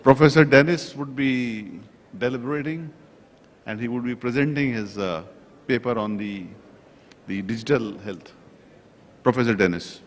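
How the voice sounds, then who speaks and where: A man chairing a conference session, speaking slowly and deliberately, listing points with pauses. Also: medium pitch, slight background noise. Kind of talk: formal conference address. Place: large conference hall. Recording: reverberant conference hall.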